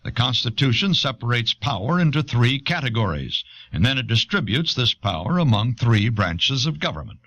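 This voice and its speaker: Lively, bright male narrator on dull cassette. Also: mid-range voice, normal speed, hardly any pauses. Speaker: audiobook narrator. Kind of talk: scripted documentary narration. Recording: dull old audio cassette.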